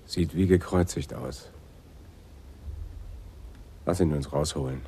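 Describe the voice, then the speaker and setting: An actor playing a hot-headed, mischievous police sergeant in a radio drama, pushy and sardonic. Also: male voice, rumbling low, takes it slowly, warm tone, slightly rough, full character voice. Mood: dry.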